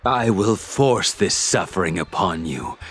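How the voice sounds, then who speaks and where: A man's voice: low voice, very animated, measured pace, husky, full character voice. An actor playing a vengeful, unhinged undead swordsman in an anime game, with a cold, menacing delivery that breaks into whispers. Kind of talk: dramatic anime game voice acting. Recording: voice under cinematic music. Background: cinematic game music.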